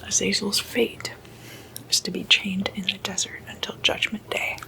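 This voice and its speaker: A woman speaking in a hushed ASMR whisper, unhurried and in short halting phrases, like an informal late-night lecture. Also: medium pitch, little pitch movement, gravelly. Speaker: ASMR host.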